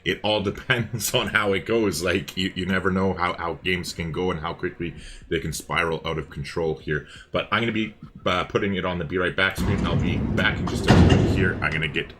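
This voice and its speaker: A man's voice: sits low, steady tone, brisk, noisy background. A man hosting and co-casting a gaming livestream in casual, chatty, apologetic talk that often repeats itself. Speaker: host and co-caster of a gaming livestream. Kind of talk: casual live stream chat.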